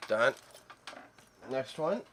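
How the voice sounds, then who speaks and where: A man's voice: medium pitch, very animated, very slow, a bit bright. A man on a casual livestream, talking to himself in short phrases as he works as a reseller and reads item details aloud, with keyboard clicks behind him. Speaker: streamer, antique reseller. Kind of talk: casual livestream work narration, muttering to self. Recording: clear background noise, keyboard clicks. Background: typing and computer clicks.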